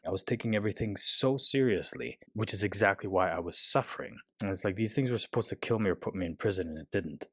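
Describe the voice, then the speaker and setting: A young adult man giving an earnest, measured and reflective personal monologue as a self-help speaker. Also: fairly low pitch, no room sound, clean studio sound.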